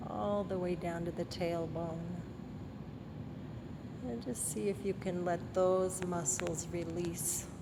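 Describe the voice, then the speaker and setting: A woman leading a guided body scan meditation in a gentle, soothing manner, leaving long pauses between phrases. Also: fairly low pitch, background noise.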